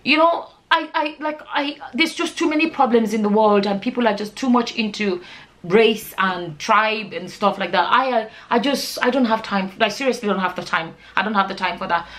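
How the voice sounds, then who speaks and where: A woman's voice: medium pitch, moderate pace, fairly bright tone. Chatty, emphatic woman vlogger from Nigeria. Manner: informal, emphatic, repeats words and phrases for stress. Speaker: vlogger, channel host. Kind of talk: casual chatty vlog answering viewer questions.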